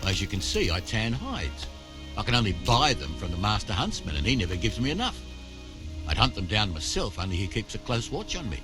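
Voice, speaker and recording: A medieval game tanner with a low, lively-pitched voice. He is a wry, practical tradesman who talks conspiratorially over clear background music. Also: brisk. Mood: sly, businesslike. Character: wry, practical male medieval tanner offering shady hunting jobs. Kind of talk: video game character dialogue.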